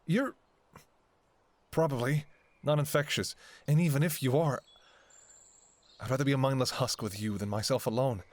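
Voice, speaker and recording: A man's voice: medium pitch, normal speed, pauses often, darkish tone, dry recording, natural voice. A man playing a devoted, anxious doctor talking to a lover in an intimate ASMR audio roleplay, with a hesitant, emotionally raw delivery. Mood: pleading.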